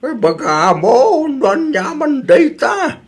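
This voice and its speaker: A man voicing an excitable, meddling elderly grandparent with drawn-out exclamations in a comedy radio drama. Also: extremely high pitch, husky, pitched above the performer's own voice, heavily acted. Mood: puzzled.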